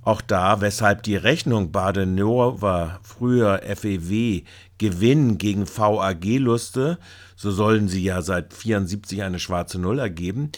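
Male reporter, low pitch, very slow delivery, halting narration. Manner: halting, with hesitations. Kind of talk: scripted report narration with commentary.

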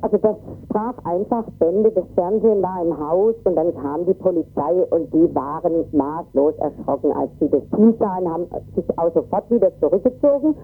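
A distressed woman giving an agitated, halting eyewitness account in an interview, often repeating words and tagging her sentences with "ne". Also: fairly low pitch, breathy edge, narrow, tinny audio, soft background music. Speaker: interviewee, volunteer refugee worker.

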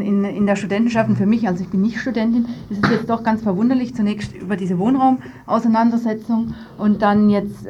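A woman's voice: mid-range voice, warm tone, lo-fi sound. Woman radio interviewer with noisy background hiss. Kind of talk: live studio interview.

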